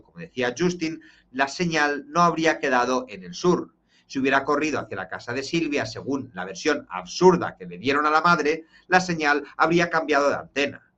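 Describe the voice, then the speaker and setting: Man, live streamer, true crime retelling, emphatic, mid-pitched, dull lo-fi sound. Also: fairly bright tone. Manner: dramatic, emphatic, slows for key points.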